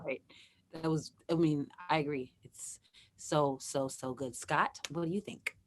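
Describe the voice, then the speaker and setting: Raspy-voiced woman host, effusive and chatty, slightly low pitch. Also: steady tone, measured pace, a little hiss or hum. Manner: effusive, chatty with many restarts. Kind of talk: casual chat.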